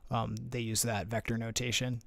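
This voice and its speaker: A man explains vector problems in a loose, conversational tutoring style. He thinks out loud, restarts phrases and leans on fillers. His voice sits at an ordinary mid pitch, and the recording is close and dry, with no room sound. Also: flat delivery, normal speed, creaky, no room sound. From United States. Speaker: math and physics tutor. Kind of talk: casual screen-share tutoring.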